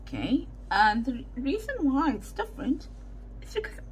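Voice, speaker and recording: A woman's voice: high-pitched, very animated, moderate pace, a little hiss or hum, low-quality recording. A woman from South Africa with a South African Indian English accent, chatting casually as she works through a crafting video at her desk, rambling and correcting herself with muttered asides. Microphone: overhead camera setup, hands only in frame.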